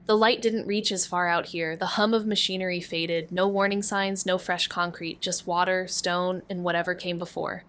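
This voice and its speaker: A woman playing a moody, wry young noir narrator with a terse, deadpan delivery in an audio drama. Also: lowish voice, moderate pace, few pauses, smooth, close to an everyday voice. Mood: brooding. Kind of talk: scripted noir narration and dialogue.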